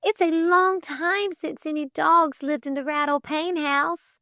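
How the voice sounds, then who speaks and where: A woman's voice: high voice, fairly even intonation, measured pace, bright, forceful tone, no echo, clean studio sound, voice pushed up, exaggerated voice. A woman voicing an earnest, flustered young girl who talks formally and hesitantly in an audiobook story. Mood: timid, earnest.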